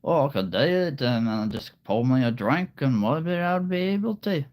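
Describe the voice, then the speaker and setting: A man's voice: medium pitch, lively intonation, moderate pace, a little mellow, voice pushed up, lightly acted. A man putting on a playful mock Scottish accent as a jolly, cheeky Scotsman.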